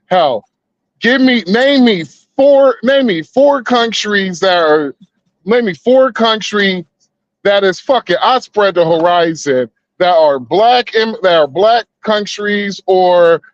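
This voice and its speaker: Man, 30s, podcast co-host: high, profane, rambling, slightly muffled dry sound. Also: expressive, slow, no room sound. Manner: rambling, profane, stops and restarts a lot. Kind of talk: heated casual debate talk.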